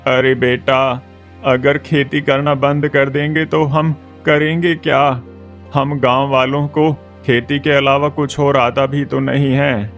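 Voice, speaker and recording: A man's voice: mid-range voice, flat delivery, slow pace, soft background music. A man voicing a kindly, weary elderly villager in a cartoon story, resigned and fatherly.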